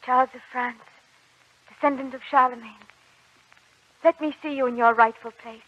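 An actress playing a devout, fearless young warrior maid in an old radio drama, speaking in an earnest, declamatory way. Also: female voice, high voice, steady tone, normal speed, sharp tone. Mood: earnest, resolute. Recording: dull old radio recording.

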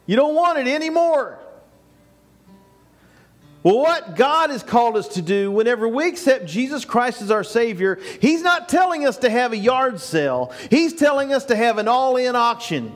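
An older man delivers a sermon in a small church, his voice sitting high for a man. He presses his points with rising, repeated phrases. The sound comes from a camera at the back of the sanctuary. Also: sing-song, normal speed, faint music behind. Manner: preaching with rising emphatic bursts and repeated phrases. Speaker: pastor. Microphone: far camera, no mic seen.

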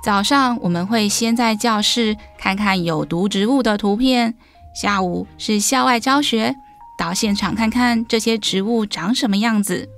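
A woman's voice: medium pitch, measured pace, fairly bright tone, soft background music. A woman voicing a kind, instructive teacher of little animals in a children's story. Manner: encouraging, clear instruction to small children.

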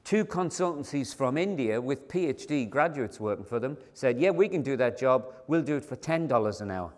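A man's voice: mid-range voice, animated, moderate pace. An older man speaks to a packed conference hall in a conversational, emphatic way, putting questions straight to the audience. His voice sits in a middle register and carries a long reverb tail from the big room. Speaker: keynote speaker. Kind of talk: conference keynote talk.

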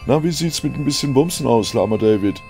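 Man putting on a high, brash, taunting girl. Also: expressive, brisk, warm tone, faint music behind, lightly acted. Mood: lewd, taunting. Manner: sing-song, taunting.

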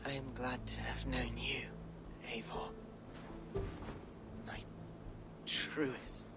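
An actor playing a gentle young warrior in a video game, weak, short of breath and dying. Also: male voice, mid-range voice, little pitch movement, extremely slow, very noisy. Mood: weak, tender. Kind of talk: dramatic video game acting.

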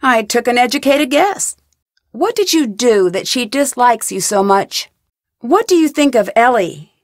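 Young female detective, video game dialogue, inquisitive, composed, unhurried, dry close sound. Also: a little high, very animated, no echo.

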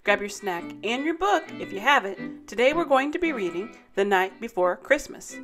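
Expressive woman reading a children's picture book. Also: fairly high pitch, soft background music. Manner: dramatic, deliberate rhyming delivery. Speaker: story time host and narrator.

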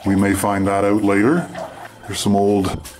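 A man from Canada giving casual, halting running commentary as he explores underground and describes what he sees. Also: fairly low pitch, flat delivery. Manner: halting, unscripted descriptions of surroundings. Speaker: mine explorer and video host. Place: underground in an abandoned mine tunnel.

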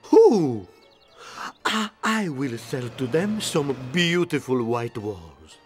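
A man voicing an excitable, sentimental Italian car in a cartoon game, with a melodramatic, drawn-out delivery. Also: medium pitch, soft background music. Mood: eager. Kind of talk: animated game voice acting.

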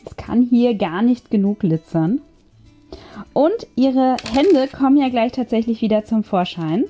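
A woman's voice: a little high, lively intonation, unhurried, mellow, muffled recording. A woman giving casual craft tutorial commentary, chatty and thinking aloud as she works. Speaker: craft video host. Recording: music behind the voice. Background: background music.

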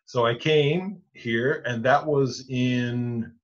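A man's voice: mid-range voice, fairly even intonation. A man in his forties, an Anglican rector with a Newfoundland accent, explaining church decisions step by step over a video call from his study. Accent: Newfoundland English. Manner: measured, explains step by step with many restarts. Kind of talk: explanatory interview answers. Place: home study with bookshelves. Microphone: video call webcam. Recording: muffled lo-fi video call audio.

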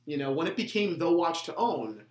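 A man's voice: on the high side, big pitch swings, normal speed. A man in his twenties with an American accent chatting casually as a show host, often repeating words as he goes. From New Jersey, United States. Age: 20s. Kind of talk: casual chat. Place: small indoor room, seated at a table. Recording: roomy indoor echo.

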